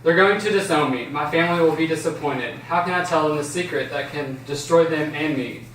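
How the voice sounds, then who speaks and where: A man's voice: a little high, brisk, a bit bright, close to an everyday voice. Man reading an anxious teenage boy, echoey room. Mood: anxious, troubled. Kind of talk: amateur table read of a drama script. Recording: roomy, distant, faint noise.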